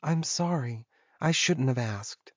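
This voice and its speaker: A male narrator plays a young woman in a paranormal romance audiobook. The pitch is lifted well above his own. She sounds curious, uneasy and quick to apologize, and the recording is close and dry. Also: no echo, lo-fi sound. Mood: apologetic.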